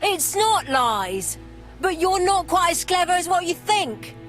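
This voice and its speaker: A woman's voice: very high-pitched, very animated, unhurried, a bit bright, soft background music, exaggerated voice. An actress playing a blunt, defensive working-class woman fruit seller in a video game. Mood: indignant. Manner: blunt, colloquial.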